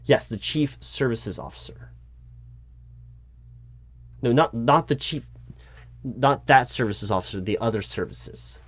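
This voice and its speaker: A man's voice: medium pitch, lively intonation, a little slow, long pauses. A man playing a flustered, awkwardly polite recruiting manager in a one-sided interview roleplay, speaking hesitantly and often repeating his words.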